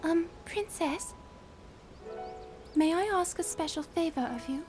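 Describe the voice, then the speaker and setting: A shy young warrior maiden in a video game cutscene. The voice is extremely high and soft, with a polite, hesitant delivery. Music is clearly heard behind it. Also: female voice, unhurried. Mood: shy, wistful.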